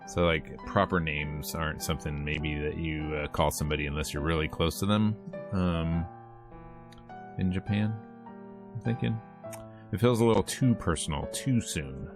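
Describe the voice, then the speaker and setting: Deep guy doing a lets play, reading lines out and joking around, game music behind him. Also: normal speed. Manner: joking, acts out lines as he reads. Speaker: streamer. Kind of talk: let's play, reading game text aloud with casual commentary. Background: visual novel music and character voices.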